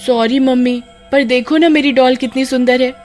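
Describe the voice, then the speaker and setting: A woman's voice: high voice, steady tone, moderate pace, a little mellow, faint music behind, pitched above the performer's own voice, close to an everyday voice. A woman voicing an innocent, pleading little girl in a horror story. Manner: pleading, childlike. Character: little girl, innocent, devoted to her eerie doll.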